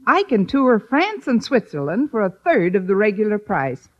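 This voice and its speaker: A wry woman teacher in a vintage radio sitcom. Her mid-pitched voice moves freely and expressively, landing each line with dry, sardonic timing. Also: sing-song, moderate pace, dull audio. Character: wry, wisecracking female high school English teacher. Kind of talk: 1940s-50s radio comedy acting. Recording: old radio comedy recording.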